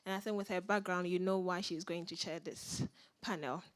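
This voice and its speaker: A woman with a fairly low voice introduces the chair of a panel. Her tone is warm and admiring, and she ends by asking the audience for applause. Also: steady tone, moderate pace. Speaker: event host introducing the chair.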